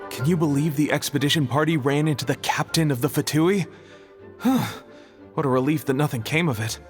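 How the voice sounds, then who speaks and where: A man's voice: a little high, a little fast, soft background music, close to an everyday voice. An actor playing a hesitant, unsure young man.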